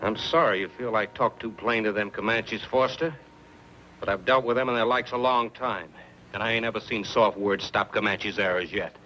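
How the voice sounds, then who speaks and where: Forceful, blunt wagon-train guide. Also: male voice, lowish voice, moderate pace. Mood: firm, defiant. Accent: American. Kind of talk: old-time radio western acting. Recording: dull old radio recording, faint noise.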